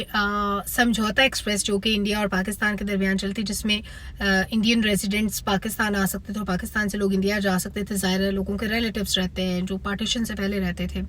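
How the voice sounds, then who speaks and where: A woman's voice: medium pitch, sharp tone. A woman with a Pakistani accent giving a critical, opinionated spoken film review to viewers.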